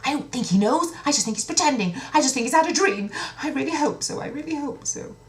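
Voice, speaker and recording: A woman's voice: high-pitched, expressive, quick pace, pitched above the performer's own voice. A woman voicing a bossy, nervous eldest princess in a fairy tale, snappy and urgent. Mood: panicky scolding.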